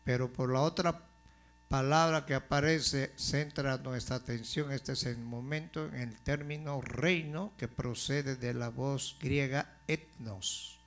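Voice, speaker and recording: A male pastor delivering a sermon in a slow, deliberate way, building to forceful emphasis. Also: mid-range voice, fairly even intonation.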